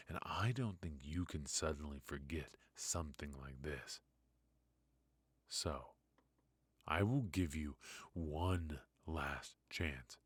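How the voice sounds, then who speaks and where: Noir roleplay mafia boss, male actor: rough husky voice, unhurried mock-polite menace, roomy echo around it. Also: rumbling low, heavily acted. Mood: cool, threatening. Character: ruthless, brilliant mafia boss, smoothly menacing and mocking. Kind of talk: noir ASMR roleplay acting.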